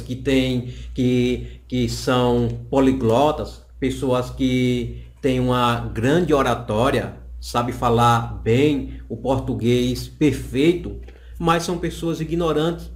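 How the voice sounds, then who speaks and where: A man's voice: medium pitch, darkish tone. Brazilian man teaching in an echoey room. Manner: didactic, repeats phrases for emphasis. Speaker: Bible teacher. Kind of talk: explanatory Bible lesson, spoken freely. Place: small indoor office. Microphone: condenser microphone on the desk. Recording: roomy, echoing small office.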